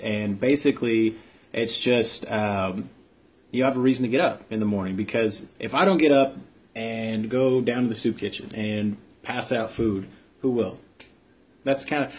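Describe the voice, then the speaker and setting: A man's voice: mid-range voice, breathy edge. A man hosting a vlog, giving motivational advice in an informal, earnest, explanatory way. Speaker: vlog host, army veteran. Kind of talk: motivational advice talk to camera.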